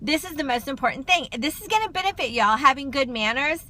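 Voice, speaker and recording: Middle-aged lady talking loud n fast on a phone livestream, high bright voice, keeps saying y'all. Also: expressive. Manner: loud, fast, chatty, addresses viewers as y'all. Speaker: counselor and livestream host. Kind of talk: casual livestream talk to viewers.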